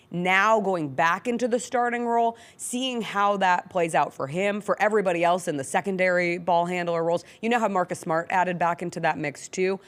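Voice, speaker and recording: A woman with an American accent hosts a sports talk show from a studio. Her pitch sits in the middle range for a woman. She talks casually and conversationally about games and players. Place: radio-style studio.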